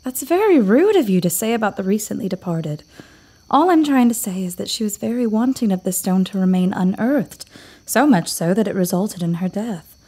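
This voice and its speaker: An actress playing a prim, cautious housekeeper in an audio drama. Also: female voice, medium pitch, lively intonation, normal speed, warm tone, slightly rough.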